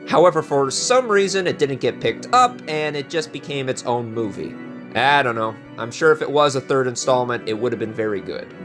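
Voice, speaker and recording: A man's voice: medium pitch, lively intonation, normal speed, over music. A man presenting a casual video introduction in a chatty, opinionated way.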